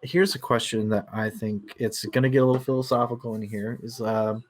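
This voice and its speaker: A man hosting a casual book discussion, thinking aloud in a halting way and circling back over his phrases. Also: mid-range voice. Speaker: book club discussion host.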